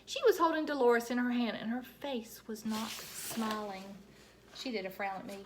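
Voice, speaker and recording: A woman reading a children's book aloud in an expressive, animated storytelling style with dramatic emphasis. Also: fairly high pitch, moderate pace, a little hiss or hum.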